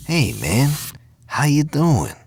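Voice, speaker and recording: A man's voice: on the high side, big pitch swings, slow pace, a little mellow, slight background noise, voice pushed up. A man voicing a casual, concerned young male friend in a science fiction audio drama, informal and tentative. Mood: casual greeting.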